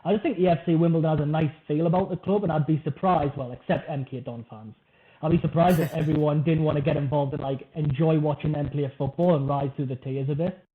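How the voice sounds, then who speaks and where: Geordie-ish host guy, chatty and keen, voice a bit high, sounds like it's over a phone line. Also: fairly even intonation, fast talker. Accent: Wearside/Tyneside, from Washington. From North East England. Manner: chatty, informal, enthusiastic. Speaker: podcast host. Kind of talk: casual podcast chat.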